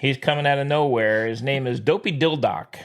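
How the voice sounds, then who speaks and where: A man's voice: mid-range voice, fairly quick, clear, resonant tone. A man co-hosting a casual podcast, joking and teasing his partner with playful exclamations. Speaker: podcast co-host. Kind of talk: casual chat.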